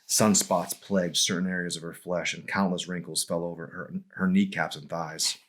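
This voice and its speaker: A man reading his own novel as a dramatic audiobook, in a grave, deliberate storytelling manner. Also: deep voice, level tone, a bit bright.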